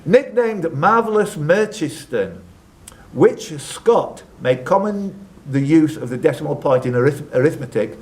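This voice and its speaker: A man's voice: fairly high pitch, expressive, a little slow. Chatty male quizmaster reading out questions. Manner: chatty, off-the-cuff asides between questions. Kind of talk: live pub-quiz hosting, reading out questions. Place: live quiz event at a social club.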